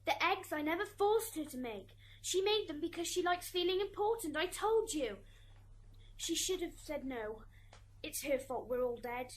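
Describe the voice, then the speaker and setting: A woman's voice: sits high, expressive, moderate pace. A woman acting a resentful, self-justifying schoolgirl daughter in an amateur radio drama. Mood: indignant. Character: resentful schoolgirl daughter testifying in afterlife court. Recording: hissy amateur recording, background noise.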